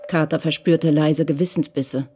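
A narrator giving calm, measured scripted narration in a radio crime drama. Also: medium pitch, faint music behind.

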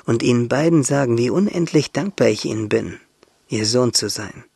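Husky young man, bitter yet playful. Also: medium pitch, normal speed, dark tone, no echo, studio quality. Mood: wistful, tender. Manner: intimate, musing, asks and answers himself. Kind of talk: radio drama monologue.

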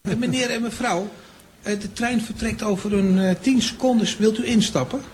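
A man's voice: high-pitched, fairly even intonation, moderate pace, over music, close to an everyday voice. A man playing a helpful, slightly flustered train conductor in a radio play. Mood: polite, bustling.

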